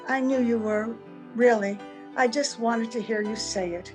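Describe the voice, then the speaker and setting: An actress playing a gentle, wistful and strange young woman in an old-time radio drama, tender and earnest. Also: fairly high pitch, unhurried, music in the background, natural voice.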